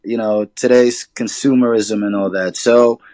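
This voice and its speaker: A man from Sudan, a rapper guest, talking in a relaxed, casual way with hip hop slang. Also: on the low side, normal speed, no echo. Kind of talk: casual interview chat.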